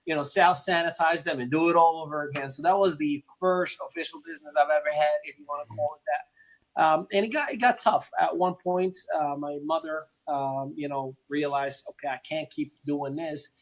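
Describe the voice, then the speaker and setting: A man in his thirties with a Moroccan accent and a somewhat high-set voice. He talks earnestly and at length in an interview, with plenty of fillers, restarts and repeated words. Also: normal speed, low-quality recording. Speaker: interview guest. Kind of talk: conversational storytelling interview.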